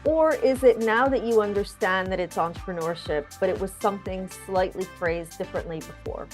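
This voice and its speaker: A woman's voice: mid-range voice, fairly quick, faint music behind. A woman hosting an interview show, introducing her guest and putting questions in a structured, conversational way.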